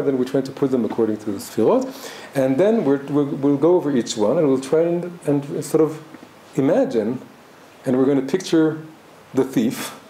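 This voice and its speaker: A man teaches in a very dark, mellow voice, speaking haltingly with many restarts as he thinks aloud. The room is noticeably echoey, with a faint hum of background noise. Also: medium pitch. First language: Hebrew. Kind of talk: reflective spoken class.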